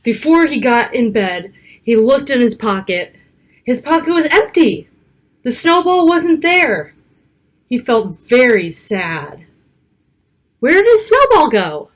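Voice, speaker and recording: A woman reading a picture book aloud to children, expressive, with casual asides about the pictures. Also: a little high, slow pace, long pauses, rough recording. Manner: child-directed, expressive, stretching key words and pointing out pictures.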